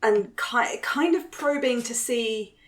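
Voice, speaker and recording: A woman's voice: a little high, slow pace. Woman chatting through a game. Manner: thinking aloud. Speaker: tabletop game player. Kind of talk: casual game-table chat.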